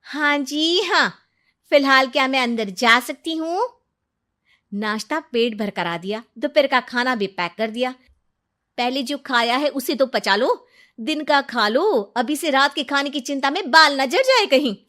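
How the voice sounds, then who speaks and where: Woman voicing an exasperated, shrill young housewife. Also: lively intonation, measured pace, sharp tone, full character voice. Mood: sarcastic, defiant. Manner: indignant, complaining, sarcastic. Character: exasperated, sharp-tongued young housewife answering back.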